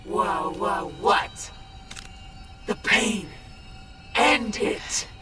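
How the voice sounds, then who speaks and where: A man voicing a cruel, accusing spirit in a video game nightmare, goading and hissing. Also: sits high. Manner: slow, cruel, goading, swinging from hiss to shriek. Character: menacing, accusing tormenting spirit in a nightmare vision. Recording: echoing, distant, loud music behind.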